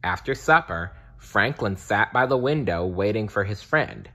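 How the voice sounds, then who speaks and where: Male children's read-aloud narrator: deliberate and expressive, unhurried, with a bright, raspy edge. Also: on the low side. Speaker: storybook reader.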